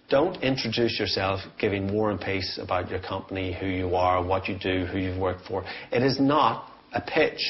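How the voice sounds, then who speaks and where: Low-pitched man coaching on sales, dull audio. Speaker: sales trainer giving advice. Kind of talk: informal business coaching talk.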